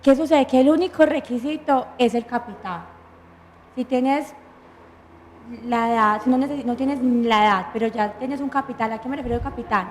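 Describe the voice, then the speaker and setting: A woman's voice: on the high side, normal speed. A woman with a Colombian accent, a lawyer explaining pensions patiently and point by point on a studio talk show. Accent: Colombian Spanish. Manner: patient, methodical, lists points and answers her own questions.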